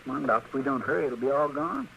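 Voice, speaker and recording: A man's voice: mid-range voice, fast, creaky, natural voice. A man playing an earnest, worrying frontier sidekick in a radio western, with a homespun rural Western American drawl. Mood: folksy, hungry. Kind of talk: 1950s radio western acting. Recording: noisy old radio recording.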